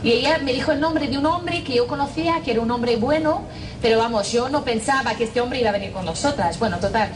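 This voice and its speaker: A woman's voice: a little high, quick pace. A woman from Northern Ireland with an Irish accent and English as her first language, giving a humorous, self-mocking testimony and acting out the quotes in a raised voice. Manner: lively storyteller who acts out quotes in a raised voice, self-mocking. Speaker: speaker giving a personal testimony. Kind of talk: humorous testimony talk to an audience. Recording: distant mic with audience and noise behind.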